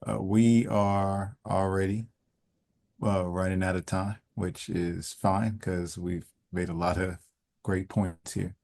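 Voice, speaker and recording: A man hosting a call, telling a story in a relaxed way before reading out questions from the chat. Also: low-pitched, takes it slowly, gravelly. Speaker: host.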